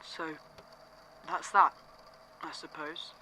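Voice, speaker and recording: An actor playing a shaken young man giving a subdued, halting statement in a fan audio drama. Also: a little high, little pitch movement, sharp tone, a little hiss or hum, full character voice, rough recording. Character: shaken young man recounting theatre disaster. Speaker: statement giver.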